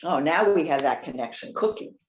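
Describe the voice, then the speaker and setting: A woman's voice: low-pitched, animated, a little fast, slight background noise. Woman acting wry, proud elderly woman, roomy echo. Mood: indignant. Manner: articulate, wry, pointed. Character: proud, witty elderly woman, retired social worker. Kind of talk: readers theater dialogue.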